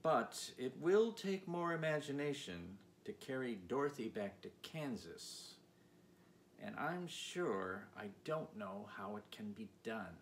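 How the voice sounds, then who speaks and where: A little humbug wizard out of a storybook, acted by a man reading aloud. He is breezy and reassuring, delivering his showman's patter with emphasis. Clear noise sits behind the voice. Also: medium pitch, very animated, slow. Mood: musing, rueful.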